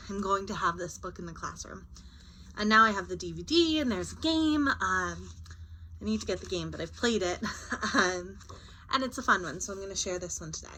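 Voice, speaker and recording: A woman teacher doing a children's read-aloud, expressive and chatty, with casual asides. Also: medium pitch, slight background noise, rough recording.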